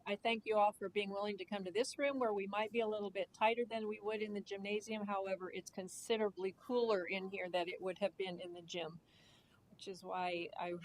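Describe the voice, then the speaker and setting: A woman's voice: mid-range voice, a little hiss or hum, rough recording. A woman chairing a school board meeting in a conference room, casual and joking as she runs the agenda. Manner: casual, plain-spoken and joking.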